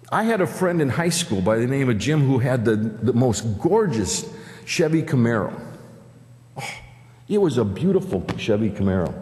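Lively Midwestern pastor preaching in church. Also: male voice, mid-range voice. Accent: Upper Midwest American. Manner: conversational, asks the congregation questions. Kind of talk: sermon. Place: church sanctuary, at a pulpit. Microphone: pulpit microphone. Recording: church hall reverb.

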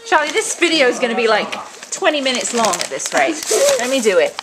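A woman's voice: on the high side, sing-song, fairly quick. A woman in a casual vlog chatting through her shopping haul at home, listing items, coupons and prices. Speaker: vlogger showing a shopping haul. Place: home, indoors. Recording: noisy home video with bag crinkling. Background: crinkling bags and clicks.

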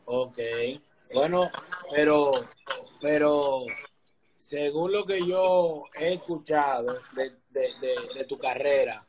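A man's voice: a little high, slow, darkish tone, slight background noise, rough recording. A middle-aged man with a Dominican accent hosting a casual sports interview over a video call, with informal, slangy talk. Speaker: interviewer, show host. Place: indoor room, remote video call. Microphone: video call, device at arm's length.

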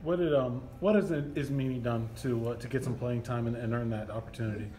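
A man's voice: mid-range voice, steady tone, a little fast, mellow. Male reporter, faint noise behind. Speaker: sports reporter. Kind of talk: postgame questioning, casual.

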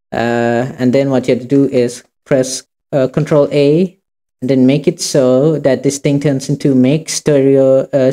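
A man hosting an informal software tutorial, talking casually and hesitantly as he goes. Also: mid-range voice. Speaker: tutorial host. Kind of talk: informal screen-recorded tutorial.

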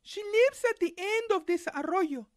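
A man's voice: very high voice, lively intonation, unhurried, no room sound, studio quality, voice pushed up. A man voicing a polite, wary little girl from a storybook, in a careful sing-song manner.

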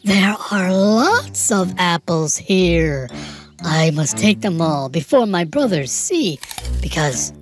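A woman's voice: fairly low pitch, lively intonation, slow, faint music behind. A woman voicing a greedy, gluttonous giant male pig in a cartoon story, whiny and possessive.

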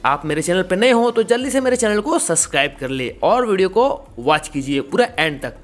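A man's voice: sits high, animated, a little fast. A man in his twenties with an Indian accent, hosting a casual step-by-step tutorial in a repetitive, instructional way. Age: 20s. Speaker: YouTube tutorial host.

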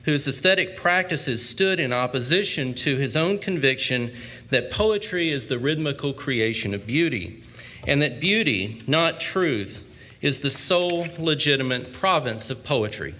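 A man delivering a formal prepared speech at an outdoor ceremony in a measured, erudite manner. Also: medium pitch, animated, bright, forceful tone. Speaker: literary society speaker.

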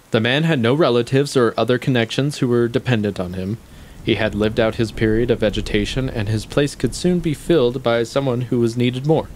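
Give the part of a haughty male scholar, played by a man, in an audio play. His middle-pitched voice is measured and coolly rational, with disdain in the phrasing. A faint noise runs behind the recording. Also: natural voice, low-quality recording. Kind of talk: stage-play dialogue in an audio drama. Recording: steady audible background noise.

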